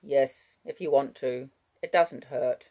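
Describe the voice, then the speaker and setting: A weary, weakened old man in a storybook, acted out by a woman, low and gravelly. He is gruff but kindly, and each phrase comes with tired effort. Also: fairly even intonation, slow pace, dark tone, heavily acted. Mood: tired acceptance.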